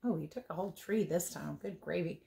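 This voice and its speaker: A woman reading a picture book aloud as a teacher would, expressive and playful, with chatty asides about the pictures. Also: lowish voice, a little fast, vocal fry, noisy background. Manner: playful, chatty, commenting on the pictures as she goes. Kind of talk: storybook read-aloud with casual asides.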